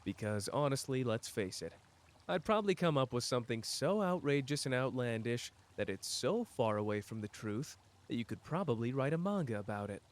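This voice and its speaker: A man playing a powerful, cocky but wounded sorcerer in an intimate audio roleplay, talking casually and teasingly straight to the listener with pauses. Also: mid-range voice, sing-song, lightly acted. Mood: hurt, confronting.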